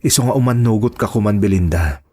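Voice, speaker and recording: A man's voice: lowish voice, fast talker, dark tone, natural voice. A man with an Ilocano accent playing a stern, aggrieved lover in a melodramatic audio drama, firm and reproachful. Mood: stern, insistent.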